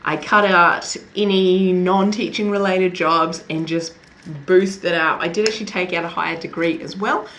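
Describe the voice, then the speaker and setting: A woman's voice: fairly low pitch, steady tone, normal speed, a bit bright, a little hiss or hum. A young woman from New Zealand with a Kiwi accent, vlogging to camera in a chatty way, often laughing at herself. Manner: chatty, laughs often, self-deprecating asides. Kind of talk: casual talk to camera.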